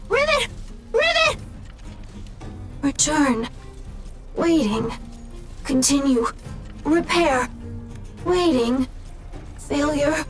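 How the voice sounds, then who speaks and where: A woman voicing a small, childlike, malfunctioning robot in a video game, speaking in repeated, halting single words. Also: high-pitched, fairly bright tone, full character voice. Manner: halting one-word utterances, repetitive. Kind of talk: video game character dialogue. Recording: faint game music behind the voice. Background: faint game music.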